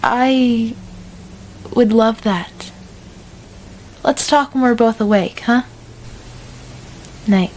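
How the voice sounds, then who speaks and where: An actress in an amateur radio drama playing a tired, stressed young student, subdued in manner. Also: female voice, on the high side, very slow, pauses often, darkish tone, a little hiss or hum, poor audio. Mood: tender, sleepy.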